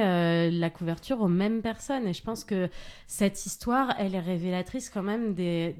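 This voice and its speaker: A woman's voice: medium pitch, mellow. A woman speaking on a radio panel, explaining her points earnestly and hesitating often with filler sounds. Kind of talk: radio discussion.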